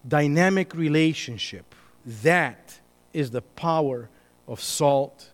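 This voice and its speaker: High-voiced man preaching in an echoing hall. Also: slow. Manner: emphatic, swings from loud bursts to hushed asides. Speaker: pastor. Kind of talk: sermon. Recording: reverberant hall.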